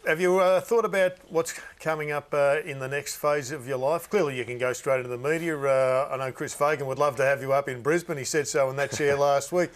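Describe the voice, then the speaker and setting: A man's voice: mid-range voice, fairly quick, few pauses. A man hosting a TV football panel, asking direct, conversational questions. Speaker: TV panel host. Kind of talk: interview questions.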